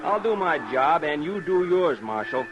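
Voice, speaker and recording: A headstrong young American cowboy, surly and quick to defy. His voice sits on the high side, with curt delivery. Noise runs clearly under this old radio western recording. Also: big pitch swings. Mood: defiant. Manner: defiant, curt.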